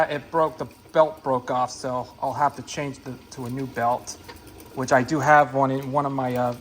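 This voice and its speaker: A man giving a casual, unscripted hands-on demonstration as a gear hobbyist, in a halting, repetitive, rambling way. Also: a little high, a bit bright, a little hiss or hum.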